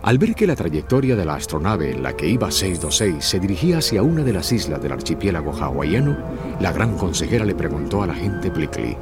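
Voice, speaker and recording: A man's voice: sits low, sing-song, fairly quick. A man narrating a scripted children's story. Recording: music behind.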